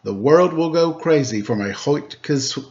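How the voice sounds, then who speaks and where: Man acting boastful storybook schoolboy, fairly high voice, grandiose, excitable, theatrical, dull lo-fi recording. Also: unhurried. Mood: grandly boastful. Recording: dull lo-fi sound.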